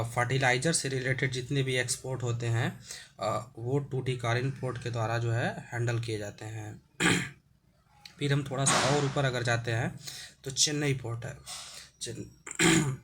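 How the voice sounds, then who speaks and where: A man's voice: mid-range voice, a bit bright, slight background noise. Man teaching with a sore throat. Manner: hesitant, many "uh" fillers, clears throat often. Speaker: exam-prep teacher. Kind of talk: explaining a map lesson.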